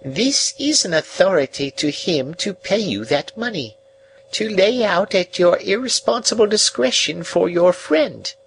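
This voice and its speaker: A man voicing a heartbroken, despairing old woman who lives as a recluse, with slow, wailing, pleading cries. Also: sits high, vocal fry, a bit bright, slight background noise, voice pushed up, full character voice, rough recording. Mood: stiff.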